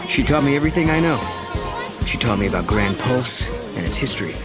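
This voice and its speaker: A man's voice: medium pitch, expressive, a little fast, close to an everyday voice. An actor playing an earnest young male traveler from another time in a video game cutscene. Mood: reflective, troubled. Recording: loud game music over voice.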